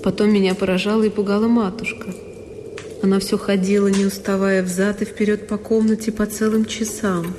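An actress playing a sorrowful young woman who recalls a lonely childhood, in an intimate, deliberate radio monologue. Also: mid-range voice, flat delivery, dark tone, slight background noise, lightly acted. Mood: wistful, pained. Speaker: solo actress. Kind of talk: dramatic literary monologue for radio. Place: studio radio production. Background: music.

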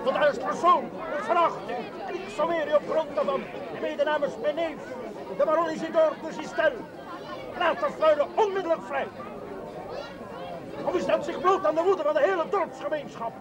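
A man's voice: extremely high pitch, steady tone, moderate pace, very noisy. An actor playing a pompous, indignant nobleman in a television drama, making formal proclamations. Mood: stern, proclaiming. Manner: formal, proclaiming, some French. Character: pompous, indignant aristocratic cousin issuing summons. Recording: outdoor TV soundtrack with crowd.

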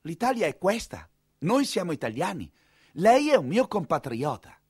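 Man acting as idealistic young Italian count, raised pitch, formal polished diction, lofty and earnest, stage monologue, dry sound. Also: very animated, moderate pace, breathy edge, no echo, full character voice. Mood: earnest, polite.

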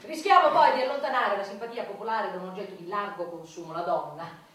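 A woman's voice: mid-range voice, fast, fairly bright tone, close to an everyday voice. Woman as cynical councillor in echoing space. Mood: mock dismay. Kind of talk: satirical stage acting. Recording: very echoey, distant pickup.

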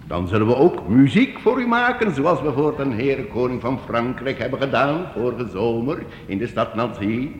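An actor in a radio play, playing a sly, flattering master of travelling musicians with theatrical salesman's patter. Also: medium pitch, moderate pace, few pauses, lightly acted. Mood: wheedling, flattering. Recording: echoey hall, noisy old radio recording.

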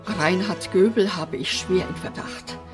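A woman's voice: on the low side, measured pace, loud music behind the voice, close to an everyday voice. An actress playing a prim, bossy and whimsical eccentric woman in a German radio play. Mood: prim, insistent. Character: fussy, eccentric fish-loving woman living in an apartment house.

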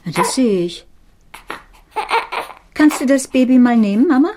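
An actress playing a brisk, excitable young mother in a naturalistic radio drama, exclaiming in short bursts. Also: fairly high pitch, background noise.

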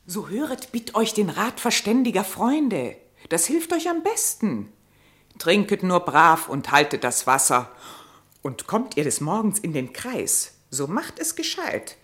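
A woman's voice: fairly low pitch, big pitch swings, a little slow, fairly bright tone. An actress playing a caring, fussy older female ape who gives coaxing, conspiratorial advice in a radio play. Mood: scheming.

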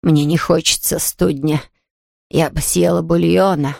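A woman's voice: sits low, steady tone, a little fast, vocal fry, dry recording, studio quality. A woman voicing a peevish, self-pitying bedridden woman in an audiobook, grumbling and haughty in a languid way. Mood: feeble, self-pitying. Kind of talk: audiobook character voice.

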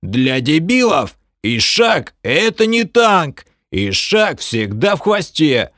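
A man putting on a loud boastful half-orc porter. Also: fairly high pitch, very animated, takes it slowly, no room sound, clean studio sound, pitched above the performer's own voice, heavily acted. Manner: shouty self-advertising drawl.

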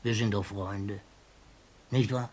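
A man's voice: on the low side, steady tone, slow, a little mellow. An actor playing a wheedling, domineering old gang boss in a radio drama, repeating his words slyly. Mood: oily, placating. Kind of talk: 1950s German radio drama acting. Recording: 1950s radio recording with faint hiss.

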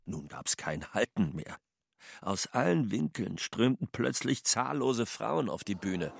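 A man's voice: on the low side, sing-song, a little slow, gravelly, slightly rough, dry recording. A man narrating a radio drama from a script as a storyteller.

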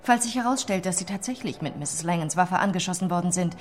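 An actress playing a composed young police detective, questioning politely but insistently in a radio crime drama. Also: female voice, fairly low pitch, quick pace, natural voice. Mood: calm, probing.

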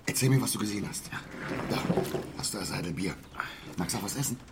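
Radio drama role: probing male questioner, low, swooping delivery, self-important, clear noise behind. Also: animated, quick pace. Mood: coaxing. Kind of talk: German radio drama acting. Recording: clear background noise, clicks.

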